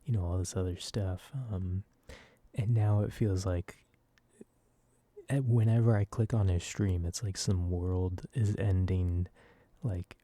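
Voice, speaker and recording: Dark, low, soft-spoken young man. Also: fairly even intonation, a little slow, no room sound. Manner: casual, self-deprecating. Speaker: ASMR video creator. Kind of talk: soft-spoken rambling ASMR.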